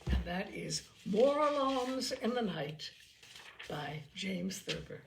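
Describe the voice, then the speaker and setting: A woman reading a literary story aloud as an expressive narrator. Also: mid-range voice, slow, gravelly, slight background noise. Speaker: storyteller, reader.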